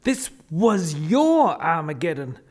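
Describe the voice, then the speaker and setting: A formal alien assessor, played by a man in a radio drama. The voice is high for a man, and the delivery is diplomatic, polite and firm. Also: sing-song, takes it slowly, darkish tone, full character voice. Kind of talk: radio play acting.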